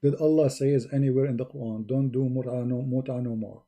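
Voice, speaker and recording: A man with a husky voice hosting an argumentative religious debate, insistently challenging his opponent and repeating his questions. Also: medium pitch, steady tone, brisk, mellow. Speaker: host of a religious debate call-in.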